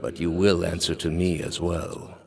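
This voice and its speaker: A man playing a stern, zealous high priest and inquisitor in a video game, speaking in a measured, formal, quietly menacing way. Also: fairly even intonation, creaky. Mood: solemn, commanding. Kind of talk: dramatic video game character dialogue.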